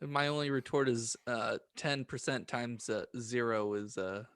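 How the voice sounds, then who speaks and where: A man co-hosting a podcast with casual, halting banter and dry jokes. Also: mid-range voice, fairly bright tone, smooth, no room sound. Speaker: podcast co-host.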